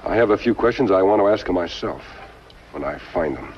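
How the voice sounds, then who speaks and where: An actor playing an upright masked lawman hero in a 1950s television western, speaking in a measured, deliberate way with a firm voice. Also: low-pitched, lively intonation. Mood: resolute. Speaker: lead actor in a western series. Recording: muffled, noisy 1950s film soundtrack.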